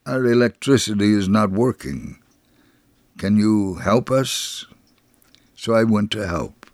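An actor playing a kindly older Jerusalem man recounting his life in a measured, first-person dramatic reading. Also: fairly low pitch, animated, dry recording, studio quality. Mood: gentle storytelling.

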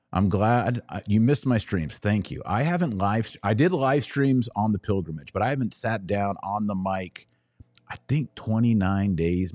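Deep-voiced American man, emphatic livestream host. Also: a little mellow, dry recording, studio quality. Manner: emphatic, opinionated, short repeated phrases. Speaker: podcast host taking live audience questions. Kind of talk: casual livestream monologue, reading and answering comments.

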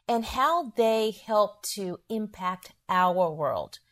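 A woman hosting a podcast, reading an upbeat prepared welcome and introduction of her guest. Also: mid-range voice. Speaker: podcast host.

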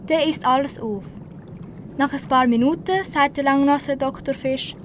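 A woman's voice: high-pitched, normal speed, slight background noise. A teenage girl with a Swiss German accent reading scripted narration for an amateur school radio play.

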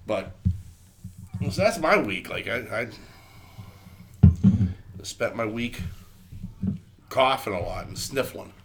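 A man's voice: on the low side, big pitch swings, slow pace, vocal fry, long pauses, fairly bright tone, a little hiss or hum. A man co-hosting a podcast, chatting casually with a stuffy, congested voice, rambling, swearing and filling his talk with "like". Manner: rambling, swears freely, fills with "like". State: congested from a cold. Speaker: podcast co-host. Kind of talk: casual chat.

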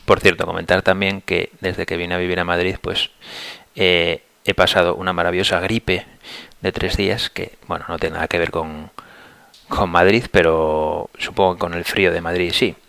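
Man, hoarse, low gravelly voice, flat delivery, casual rambling podcast, headset mic. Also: moderate pace, no room sound. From Asturias, Spain. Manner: relaxed, rambling, many fillers and restarts. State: hoarse from a flu. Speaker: podcast host. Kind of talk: casual solo podcast monologue. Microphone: headset mic on a computer.

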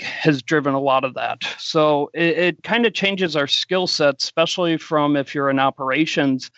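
A man speaking as a guest in a podcast interview, explaining his points in a steady way. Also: fairly high pitch, dry recording, dull audio. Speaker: guest, cloud architect.

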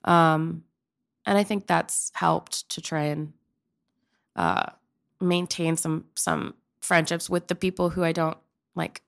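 Chatty young woman with vocal fry. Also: low-pitched, steady tone, slow, long pauses. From Pennsylvania. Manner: chatty and rambling, with many filler likes. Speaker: guest, opera-trained improv performer. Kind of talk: casual interview chat.

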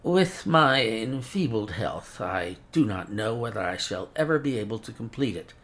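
A man's voice: on the low side, lively intonation, normal speed, a bit bright. A man voicing a frail, chain-smoking old male scholar who speaks in a precise, mincing way and laments effusively. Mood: earnest.

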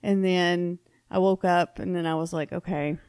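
A woman's voice: on the low side, fairly even intonation, normal speed, a little mellow, smooth, no echo, clean studio sound. Tired, chatty woman podcast host. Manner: chatty, laughing often, trailing off with fillers. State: tired, late at night. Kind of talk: casual chat.

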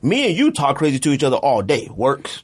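A man's voice: medium pitch, wildly swooping pitch, quick pace, slightly rough. A man hosting a podcast in a loose, colloquial, rambling way, full of conversational filler phrases. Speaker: podcast host. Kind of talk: casual podcast chat.

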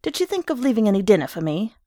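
A woman's voice: mid-range voice, very animated, fast talker, dark tone, no room sound, studio quality, voice pushed up, lightly acted. A woman voicing a hot-tempered, bullying working man and father in an audiobook, barking fiercely and scornfully. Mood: demanding.

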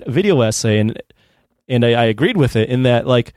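A man's voice: medium pitch, animated, brisk, darkish tone, dry recording, studio quality. A man on a podcast panel casually and earnestly arguing his opinion on films. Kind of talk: casual film discussion.

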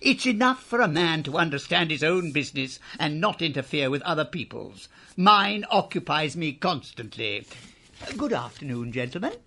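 A man's voice: on the high side, sing-song, normal speed, gravelly, pushed and bright, exaggerated voice. An actor playing a cold, curt, scornful old businessman and miser in a radio drama. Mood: scornful grumbling. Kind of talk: theatrical radio drama acting.

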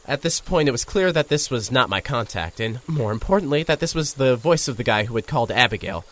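Man as awkward investigator, wry first-person narration, hesitant, very animated intonation, middle pitch, audio drama. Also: a little fast, lightly acted. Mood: wry, storytelling. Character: awkward, wry male radio host turned investigator.

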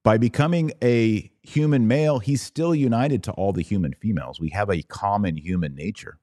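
A man hosting a podcast, giving a religious explanatory monologue in a didactic, emphatic way, pausing and repeating words for stress. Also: fairly low pitch, warm tone, dry recording. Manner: didactic, emphatic, with deliberate pauses and repetition for stress. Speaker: podcast host.

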